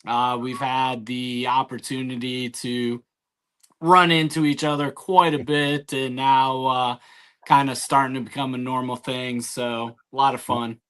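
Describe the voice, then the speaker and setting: Smooth-voiced man talking slowly and casually. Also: mid-range voice, little pitch movement, fairly bright tone. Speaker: interview guest. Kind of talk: casual chat.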